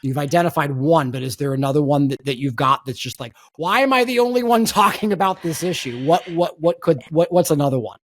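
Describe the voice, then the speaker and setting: A man's voice: fairly high pitch, expressive, fairly quick, hardly any pauses. A man hosting a political podcast, talking in an emphatic conversational way and repeating words as he restarts. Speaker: podcast host.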